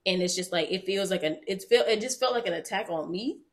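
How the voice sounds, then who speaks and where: A woman co-hosting a casual podcast, telling stories in animated, quick bursts with frequent laughter. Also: mid-range voice. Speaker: podcast co-host. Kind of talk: casual chat.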